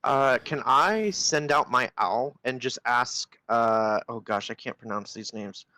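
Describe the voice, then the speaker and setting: Bright-voiced man at a tabletop game. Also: medium pitch, unhurried, no echo. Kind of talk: casual game chat.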